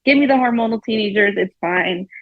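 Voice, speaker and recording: A woman's voice: mid-range voice, brisk, no room sound. A woman in her thirties, a guest teacher, talking casually and laughing often on a podcast. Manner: chatty, laughs often, says "like" a lot. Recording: dull lo-fi sound.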